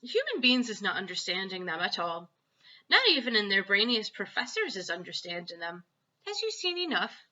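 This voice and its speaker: A woman voicing a gentle, long-suffering friendly giant with muddled, folksy grammar for a children's storybook. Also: medium pitch, huge pitch swings, normal speed, lo-fi sound, heavily acted. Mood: earnest. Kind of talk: children's storybook read-aloud.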